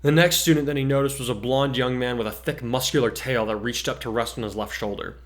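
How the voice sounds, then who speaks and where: A man's voice: mid-range voice, steady tone, fast. A man co-hosting and reading his own fan fiction, moving between casual chat and dramatic narration. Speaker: co-host and fan fiction author.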